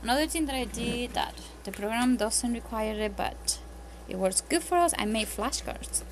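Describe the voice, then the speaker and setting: A woman's voice: fairly high pitch, animated, normal speed, rough recording. A homeschooling mother with a Spanish accent, whose first language is Spanish, talking casually and earnestly at home with lots of ums and restarts. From Spanish-speaking country. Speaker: homeschooling parent. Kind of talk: casual tips vlog. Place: home, at a table, indoors. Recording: audible background noise.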